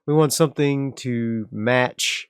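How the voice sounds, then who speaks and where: A man's voice: mid-range voice, takes it slowly, clean tone, dry recording, studio quality. A man playing a solo tabletop game, casually narrating and thinking aloud as he sets up his next area. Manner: relaxed, rambling, frequent restarts.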